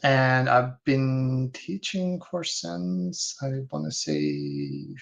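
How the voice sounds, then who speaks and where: A man from Hungary, a guest instructor speaking haltingly with many fillers. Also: medium pitch, wildly swooping pitch, gravelly. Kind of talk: casual webinar talk. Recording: dull lo-fi video call audio.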